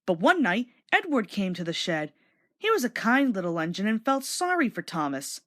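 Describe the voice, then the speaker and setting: A woman's voice: medium pitch, very animated, moderate pace, a bit bright. A woman reading a children's story aloud as a scripted read-along narrator.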